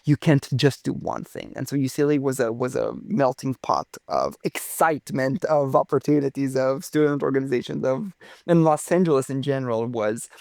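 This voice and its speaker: A man's voice: medium pitch, fairly even intonation, moderate pace, gravelly, a little mellow, no echo. A young man from Romania, a physicist guest, telling his story in a casual interview with enthusiastic, theatrical phrasing.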